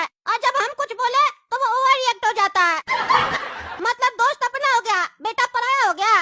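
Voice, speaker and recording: A performer voicing a brash, dramatic pumpkin boy in a Hindi cartoon, loud, scolding and melodramatic. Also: extremely high pitch, full character voice.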